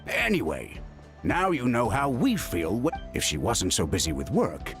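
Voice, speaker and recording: A man's voice: lowish voice, wildly swooping pitch, a little slow, over music, exaggerated voice. A man voicing a protective, caring uncle in a video game, exasperated and scolding yet affectionate.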